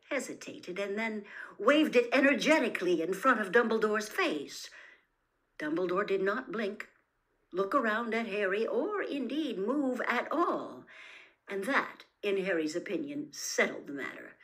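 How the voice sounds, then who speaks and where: Animated woman reading a story dramatically. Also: medium pitch, a little slow, rough recording. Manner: dramatic, with hushed suspenseful drops. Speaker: audiobook-style reader of stories. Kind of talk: expressive storybook narration.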